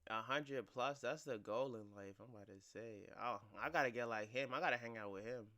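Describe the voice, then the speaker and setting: A man's voice: mid-range voice, fairly quick, bright, forceful tone. A man hosting a reaction video, giving blunt, profane and incredulous casual commentary.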